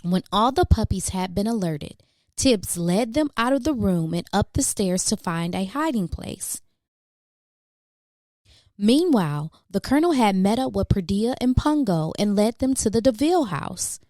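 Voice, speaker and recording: A woman narrating a children's storybook expressively, with measured, dramatic emphasis for young listeners. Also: mid-range voice, lively intonation, darkish tone, dry recording, clean studio sound. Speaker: children's book reader.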